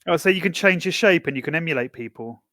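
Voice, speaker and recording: A man's voice: a little high, animated, fast talker, fairly bright tone, dry recording, clean studio sound. A man chatting casually as a podcast co-host. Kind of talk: casual chat.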